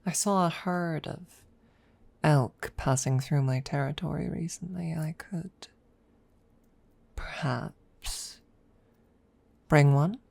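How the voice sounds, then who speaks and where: Woman playing a low, slow, creaky-voiced proud dragoness. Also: a little mellow, no echo, full character voice. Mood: coy, tentative. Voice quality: breathy close murmur, often dropping to a whisper. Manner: formal, deliberate, hesitant, with long pauses. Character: proud, guarded female dragon secretly fond of her rival. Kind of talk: ASMR audio roleplay. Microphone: close microphone.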